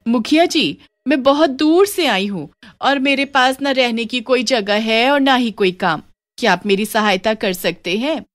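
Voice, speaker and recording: A woman's voice: on the high side, lively intonation, measured pace, a bit bright, pitched above the performer's own voice. A woman playing a meek, polite village widow in a horror story, with a pleading, deferential manner. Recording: music under the voice.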